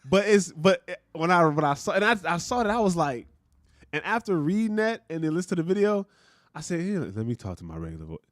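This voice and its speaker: A man with a deep voice chats casually as a podcast co-host, animated and full of slang. His delivery is lively, and the sound is dry and close. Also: fast, dry recording. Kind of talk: casual chat.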